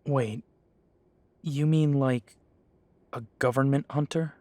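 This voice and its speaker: A man's voice: mid-range voice, steady tone, takes it slowly, mellow, no echo, natural voice. A young man voicing a young male werewolf pack leader who talks earnestly and deferentially. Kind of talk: amateur audio drama acting.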